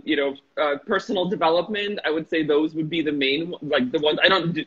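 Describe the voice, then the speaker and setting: Bright, chatty woman on a lo-fi video call. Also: sits low, breathy edge. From former Soviet Union, raised in Chicago. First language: Russian. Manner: animated and talkative, with many fillers and restarts. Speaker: guest, marketing entrepreneur. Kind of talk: casual interview chat. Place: small room with a glass wall, indoors. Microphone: laptop webcam on a video call.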